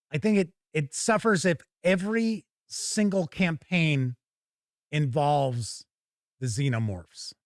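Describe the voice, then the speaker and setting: A man hosting a tabletop game show, giving casual, halting live unboxing commentary and thinking aloud. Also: high voice, dry recording, studio quality.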